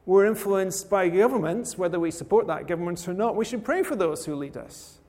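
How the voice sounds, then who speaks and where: A man from rural Scotland preaching a sermon in a relaxed, conversational way with touches of dry humor. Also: sits high, a little fast. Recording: long hall reverb.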